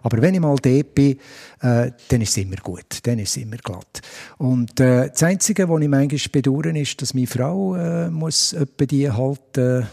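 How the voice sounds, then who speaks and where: A middle-aged man with a Swiss German accent, a stadium announcer, answering interview questions in a chatty way and pausing often with fillers. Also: mid-range voice, vocal fry, warm tone.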